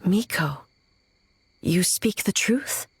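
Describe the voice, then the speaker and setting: A woman playing a stern, ageless shogun in a video game, speaking formally and gravely in measured phrases. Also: lowish voice, expressive, heavily acted. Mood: questioning. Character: solemn, stern female shogun ruler, ageless and resolute. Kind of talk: video game story dialogue.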